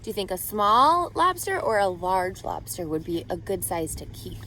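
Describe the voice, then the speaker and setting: A woman reading a picture book aloud to children as a teacher, expressively, pausing to ask guiding questions and explain. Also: mid-range voice, sing-song, pushed and bright, slight background noise.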